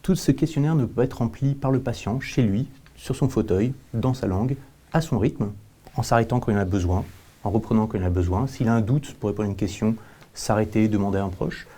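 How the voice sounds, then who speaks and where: A man giving explanatory answers as an interview guest, with halting repetitions and illustrative examples. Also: lowish voice, mellow. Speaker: company representative, panel guest.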